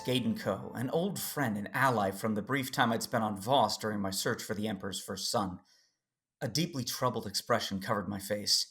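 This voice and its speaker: Guy as earnest jedi dad telling his story, lowish voice, serious, deliberate, space opera audio drama. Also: fairly bright tone. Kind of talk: first-person audio drama narration and dialogue.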